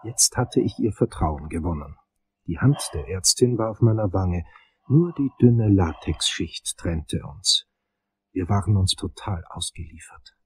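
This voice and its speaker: Dark-voiced brooding male investigator played by an actor. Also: low-pitched, animated, a little slow, husky, heavily acted. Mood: brooding, intense. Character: brooding male investigator narrating his own infatuation. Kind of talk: German crime radio play narration.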